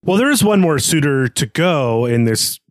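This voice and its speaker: A man's voice: fairly high pitch, big pitch swings, normal speed, no echo, clean studio sound. A man co-hosting a literary discussion podcast, talking in an articulate, analytical and conversational way. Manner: analytical, articulate, poses questions. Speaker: podcast co-host.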